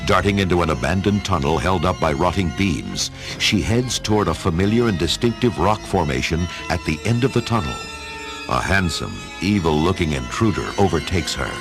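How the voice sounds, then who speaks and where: A man giving dramatic, measured scripted narration for an audio story, in a theatrical storytelling manner. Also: low-pitched, expressive. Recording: music under the narration. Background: music.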